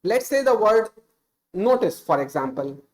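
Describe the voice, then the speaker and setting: A man's voice: high-pitched, very animated, measured pace. A man in his thirties with an Indian accent, whose first language is Hindi, giving casual, unscripted English-speaking advice on a stream, earnest and full of small "uh"s and self-corrections.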